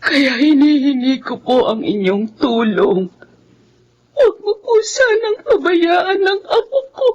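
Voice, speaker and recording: A woman playing an anguished, devout grandmother in a horror radio drama, pleading in a tremulous, drawn-out way. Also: high voice, sing-song, darkish tone, highs cut off, heavily acted. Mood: tearful, praying.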